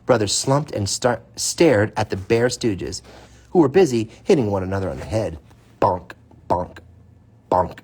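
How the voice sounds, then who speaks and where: Husky man reading a kids' story aloud. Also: fairly low pitch, lively intonation, unhurried, darkish tone. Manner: informal, playful asides to a young listener. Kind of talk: children's story read aloud, with casual asides.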